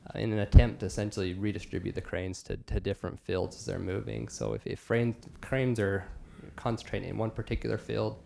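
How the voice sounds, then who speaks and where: A man in his thirties, a wildlife biologist, answering board questions at a podium in a large meeting hall, measured and full of ums. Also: on the low side, fairly even intonation, vocal fry, mellow, slight background noise. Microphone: podium microphone.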